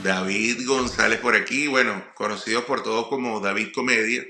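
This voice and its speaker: A middle-aged man with a Venezuelan accent tells personal stories informally, often halting and repeating words. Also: lowish voice, fairly even intonation, over music. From Puerto La Cruz, eastern Venezuela. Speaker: comedian, guest speaker. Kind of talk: personal storytelling monologue. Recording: roomy echo.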